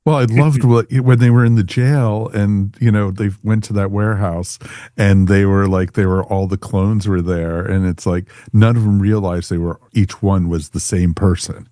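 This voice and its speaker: A man's voice: fairly low pitch, steady tone, moderate pace, mellow, no echo, studio quality. A man hosting a podcast in casual review chat.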